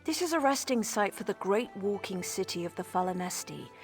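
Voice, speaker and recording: An actress playing an exasperated, wry mage scholar in a video game, explaining things articulately. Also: female voice, mid-range voice, animated, fairly quick, faint music behind, lightly acted. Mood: informative, wistful. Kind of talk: conversational video game dialogue.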